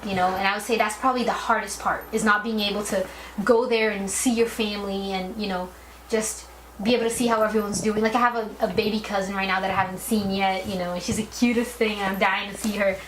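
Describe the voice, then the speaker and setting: A woman's voice: mid-range voice, steady tone, fast talker. A young woman from Brazil answering interview questions in a casual, thoughtful way, with a lot of "like" and "you know.". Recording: echoey room with background noise.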